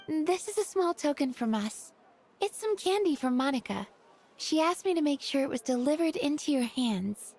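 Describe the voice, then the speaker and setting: A woman's voice: high-pitched, expressive, moderate pace, darkish tone, soft background music. A woman voicing a polite, hesitant young female kitchen staff member in a video game. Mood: apologetic.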